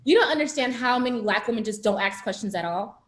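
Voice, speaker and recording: Fast-talking young woman. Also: fairly high pitch, lively intonation, a bit bright, roomy sound. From southern United States. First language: Haitian Creole. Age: 20s. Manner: fast-talking, earnest, with many restarts. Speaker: panelist. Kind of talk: panel discussion remarks. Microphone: video call.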